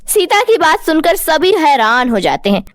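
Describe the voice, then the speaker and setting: Lady narrator doing a scripted moral story, pretty high voice, no echo at all. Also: very animated, moderate pace, studio quality.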